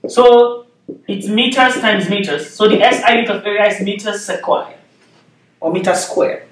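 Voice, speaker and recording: A man's voice: sits high, moderate pace, far from the mic. Nigerian-accented man lecturing in an echoey room. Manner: explains step by step, often checks in with "okay?". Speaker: physics lecturer. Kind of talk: whiteboard lecture. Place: classroom with whiteboard, indoors. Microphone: far camera.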